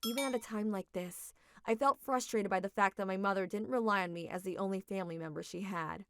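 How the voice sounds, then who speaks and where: A woman's voice: medium pitch, fast talker, fairly bright tone, smooth, no room sound, clean studio sound. A young adult woman narrating a manga dub in a reflective, emotional way.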